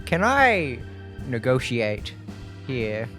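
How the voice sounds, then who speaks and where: Casting: male tabletop player, mid-pitched and very animated, casual banter with music clearly underneath. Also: drawn-out words.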